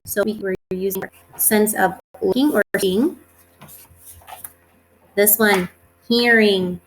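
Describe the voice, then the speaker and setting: A woman's voice: medium pitch, fairly even intonation, slow pace, slight background noise, rough recording. A woman giving a read-aloud lesson to young children as their teacher, instructive, stressing key words and posing questions that she answers herself. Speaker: elementary school teacher.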